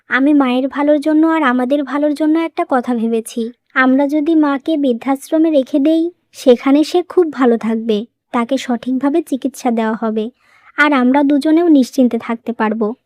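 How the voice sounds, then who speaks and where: A woman playing a composed, persuasive young daughter-in-law in a cartoon moral story, explaining things in a measured way. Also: sits high, a little mellow, dry recording, studio quality, close to an everyday voice. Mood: insistent.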